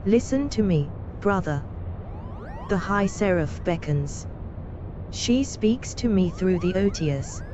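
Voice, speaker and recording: A woman's voice: fairly low pitch, animated, lo-fi sound, background noise. An actress playing a frail but resolute young noblewoman in a fantasy video game, with a solemn, mystical manner. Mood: urgent, solemn. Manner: slow, solemn. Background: loud game music.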